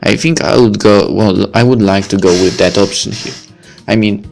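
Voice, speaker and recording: A very low-voiced man reads a visual novel aloud and stops now and then to chat about it. His reading is relaxed and casual, with the odd slip and restart. Soft music plays quietly behind him. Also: normal speed, vocal fry. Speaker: let's play host reading a visual novel. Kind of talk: reading game text aloud with commentary.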